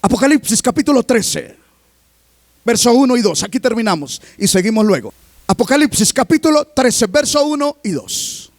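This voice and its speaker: A man preaching a sermon as pastor, reading scripture aloud and constantly asking the congregation questions, rising to emphatic shouts. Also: very high voice, sing-song, moderate pace, no room sound, studio quality. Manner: reads scripture aloud between remarks.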